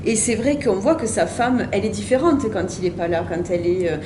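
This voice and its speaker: A woman's voice: medium pitch, lively intonation, brisk, roomy sound, noisy background. A woman interviewing an author about his novel, agreeing often and summing up the book. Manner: attentive, frequent short agreements.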